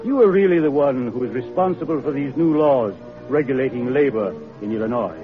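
An actor playing a gracious, dignified state governor in a radio drama, formal and measured. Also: male voice, medium pitch, lightly acted. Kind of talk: vintage radio drama acting. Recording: dull vintage radio, music behind.

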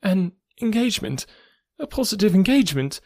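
A reader voicing a nervous middle-aged woman with a trembling, hushed voice in an audiobook. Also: mid-range voice, very animated, moderate pace, voice pushed up. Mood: anxious. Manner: hesitant, hushed, half-whispered. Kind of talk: audiobook character voice.

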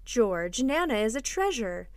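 A woman's voice: fairly high pitch, very animated, measured pace, no room sound, studio quality. A woman voicing a placid, loving mother in a storybook, in a soothing, tender manner.

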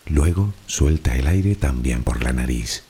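Very deep, soft Canarian man. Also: expressive, slightly rough. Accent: Canarian Spanish. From Canary Islands. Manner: soft, unhurried, soothing delivery. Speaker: sleep meditation narrator. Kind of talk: slow guided relaxation narration.

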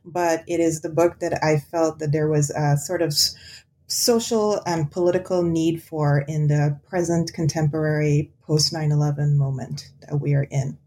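A woman's voice: deep voice, fairly even intonation, normal speed, warm tone, slight background noise. A woman from Guyana, an author discussing her book in an interview, thoughtful with frequent ums and restarts. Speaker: author and guest. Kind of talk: academic interview conversation.

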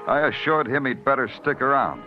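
An actor playing a hard-boiled police detective who narrates in a dry, wisecracking way in an old radio drama. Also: male voice, medium pitch, sing-song, fairly quick, lightly acted. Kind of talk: 1940s radio acting. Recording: dull old radio recording with music.

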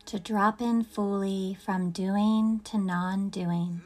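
A woman leading a guided yoga nidra meditation in a gentle, soothing, unhurried manner with long pauses. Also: medium pitch, fairly even intonation.

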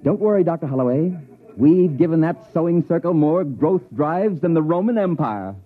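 An actor playing a confident, pedantic academic professor with a husky voice in a classic radio drama. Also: male voice, fairly high pitch, animated, normal speed, a crowd in the background, natural voice. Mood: smug, assured. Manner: pedantic, self-assured. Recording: thin, phone-like vintage radio recording.

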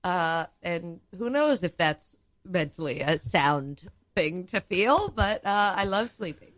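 A slightly hoarse woman, a comedy guest on a podcast, talking in a deadpan, ironic way and laughing a lot. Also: lowish voice, slow, no echo. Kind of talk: casual comedic chat.

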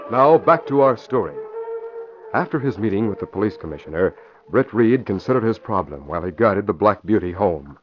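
A man's voice: fairly low pitch, animated, brisk, soft background music. A man with an American accent announcing and narrating in a polished, emphatic 1940s radio style. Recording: muffled vintage radio recording.

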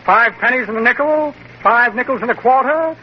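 A man's voice: very high-pitched, brisk. An actor playing a pompous, bumbling male con-man magician in a 1940s radio comedy, talking grandly and coughing. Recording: dull, hissy old radio recording.

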